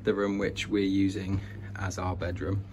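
A man's voice: low voice, little pitch movement, measured pace, a little mellow. A British man chatting informally and enthusiastically as he gives a casual walk-through narration indoors. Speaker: vlogger giving a house tour. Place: inside an old house, walking between rooms. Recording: noisy indoor handheld home recording.

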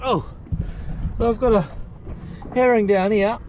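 Aussie bloke on a kayak cam chatting slow, kinda high voice, wind and water all around. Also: male voice, dull audio. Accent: Australian. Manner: excitable, laughs often, chatty to viewers. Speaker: fishing vlogger. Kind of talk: casual running commentary while fishing. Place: kayak on open bay outdoors. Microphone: action camera mounted on kayak. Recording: wind and water noise outdoors.

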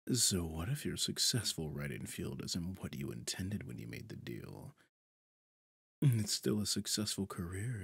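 A man playing a smug, possessive demon in an ASMR roleplay, with a low, gravelly, breathy voice and a silky, condescending, taunting manner. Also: no room sound, studio quality, heavily acted. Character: possessive, smug male demon master of souls.

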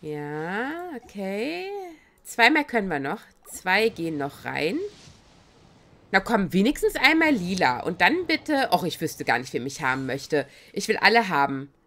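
A woman with a cold chats her way through a video game on stream, excitable and giggly. Her slightly raspy voice is bright and lively, jumping up and down in pitch. She sounds close to a desk microphone. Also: measured pace. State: has a cold. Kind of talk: casual let's-play commentary.

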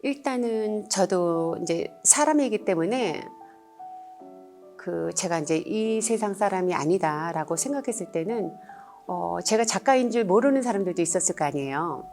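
A woman's voice: mid-range voice, animated, moderate pace, darkish tone, soft background music. A middle-aged or older woman, a painter, answering interview questions in an earnest, polite and reflective way.

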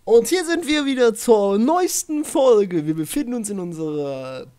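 A man playing an excitable announcer in an improvised parody of a reality show on a radio play. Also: sits high, unhurried. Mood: showy. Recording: faint noise with clicks.

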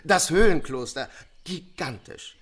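An actor playing a courteous, smooth-talking male hotel owner in a crime audio drama, suave and effusively polite. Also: on the high side, lively intonation, a little slow. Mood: suave, welcoming.

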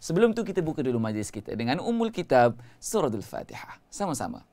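A man's voice: fairly high pitch, big pitch swings, quick pace, dark tone. A Malaysian man in his thirties hosting a live-streamed Quran lesson as its coach. He speaks emphatically, repeats his key phrases, and gestures as he talks. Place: studio before a green-screen hedge backdrop.

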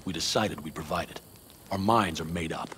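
A male clan leader of nomads in a video game. His voice is low, and he speaks in a firm, terse, steady way over faint noise. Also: normal speed. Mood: resolute, calm. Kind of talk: video game cutscene dialogue.

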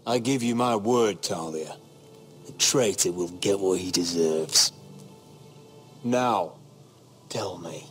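A battle-scarred young lord in a fantasy game drama. His voice is low, measured and solemn, with faint music underneath. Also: fairly bright tone. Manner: measured, serious. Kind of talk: dramatic video game acting. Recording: music behind the voice.

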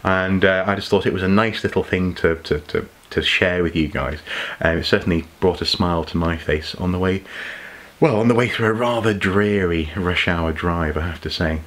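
A deep-voiced man, chatting at home in front of a desk camera. He muses aloud about archaeology in a relaxed, unscripted way, stumbling over repeated words and filling pauses with ums. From England. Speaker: archaeology YouTuber. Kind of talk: impromptu casual vlog explainer. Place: home study with shelves of collectibles.

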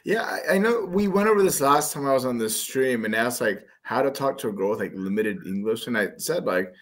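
A man's voice: mid-range voice, expressive, a little fast, fairly bright tone. A man on a video call with a headset, chatting casually and bluntly, swearing and acting out short texting examples. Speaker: guest.

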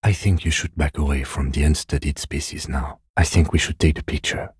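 A man playing a calm, composed middle-aged police detective in a video game, speaking in a measured, restrained and precise way. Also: a bass voice, breathy edge, no echo, clean studio sound, full character voice. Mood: dry, measured. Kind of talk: video game voice acting, understated dialogue.

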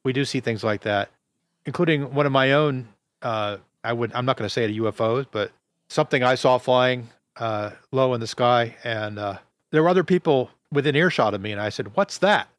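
Man, interviewer, loud voice, rambling with fillers, mid pitch, dry sound. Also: normal speed, no echo. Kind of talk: casual interview.

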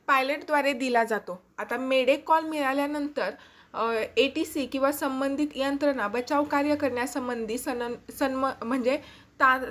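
Woman, high voice, YouTube presenter, explanatory news narration. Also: fairly bright tone.

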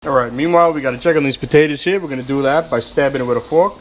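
Fast-talking brash young home cook. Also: male voice, mid-range voice, slight background noise. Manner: brash, joking, streetwise slang. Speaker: home cooking host. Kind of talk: casual cooking vlog narration. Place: home kitchen, indoors. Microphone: phone camera held close.

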